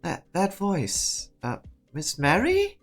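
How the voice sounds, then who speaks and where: A woman's voice: on the low side, huge pitch swings, slow pace, heavily acted. A woman voicing a timid, earnest, stammering young man in a game drama fan dub. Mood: startled, flustered. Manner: stammering, hesitant. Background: background music.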